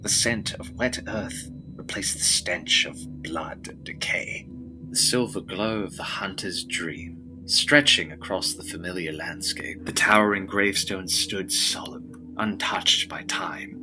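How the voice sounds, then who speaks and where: A man narrating a scripted gothic audio drama with solemn, dramatic and deliberate phrasing. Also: deep voice, low-quality recording. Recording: music behind the voice.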